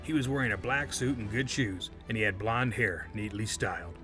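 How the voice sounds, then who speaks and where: A smooth, composed witness, acted by a man for an audio drama. The voice is fairly low with a bright edge, formal and measured, coolly sly beneath the courtesy. Faint music plays underneath. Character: smooth, composed male witness, cold and slyly polite.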